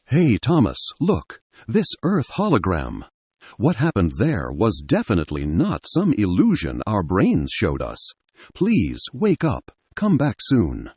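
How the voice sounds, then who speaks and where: A man's voice: fairly low pitch, huge pitch swings, takes it slowly, darkish tone, slightly rough, no echo, full character voice. A man voicing a devoted, determined woman doctor in an audio story, earnest and dramatic. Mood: pleading.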